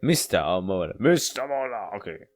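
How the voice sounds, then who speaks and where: A man's voice: lowish voice, sing-song, dry recording, faint music behind. A man doing a casual read-aloud of a visual novel, often stumbling and going back over lines. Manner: halting, stumbling and rereading lines. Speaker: let's player reading a visual novel aloud.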